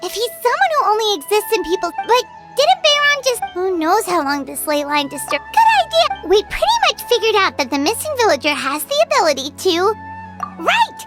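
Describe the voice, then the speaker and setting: A little childlike fairy companion from a video game. The voice is extremely high and squeaky, swinging eagerly with exclamations. Music plays behind it. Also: female voice, very animated, a little fast. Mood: puzzled, realizing. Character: small excitable female fairy companion, childlike and chirpy.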